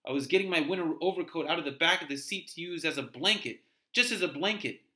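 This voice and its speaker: Man performing haunted young male driver, frightened, insistent, intense radio-play storytelling, higher-pitched male voice. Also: fast, bright, forceful tone. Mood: haunted, weary. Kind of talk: dramatic first-person radio-play narration read aloud.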